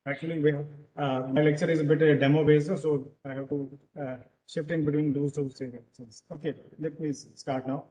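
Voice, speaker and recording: A man from Punjab with a Punjabi accent, giving an online lecture over a video call and pausing often with fillers. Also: fairly high pitch, level tone, dark tone, poor audio. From Punjab, India. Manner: hesitant, many filler pauses. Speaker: guest lecturer.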